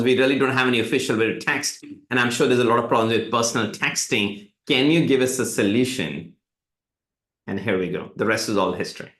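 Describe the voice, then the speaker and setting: A middle-aged man from India, a native Hindi speaker, tells stories with energy and slips into other people's voices when he quotes them. His pitch sits in the middle range. The room around him sounds hollow and echoey. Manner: animated storytelling, quotes others in lively voices. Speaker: tech company CEO, guest. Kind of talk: live webinar interview.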